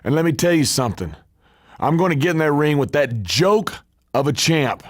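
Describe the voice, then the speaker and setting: A man's voice: fairly high pitch, sing-song, normal speed, no room sound, studio quality. A man playing a cocky, scheming, trash-talking pro wrestler in scripted video game dialogue, with a brash, emphatic delivery. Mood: defiant, scornful.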